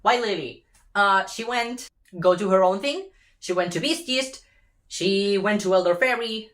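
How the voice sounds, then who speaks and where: A woman's voice: a little high, fairly bright tone. A woman in a casual, rambling rant, retelling a story with sarcastic, sweary exclamations.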